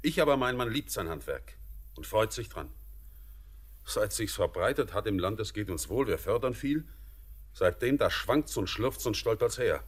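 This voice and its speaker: An actor playing an earnest young former sailor turned miner in a classic radio play, speaking in a poetic, searching way that turns brusque. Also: male voice, lowish voice, expressive, moderate pace.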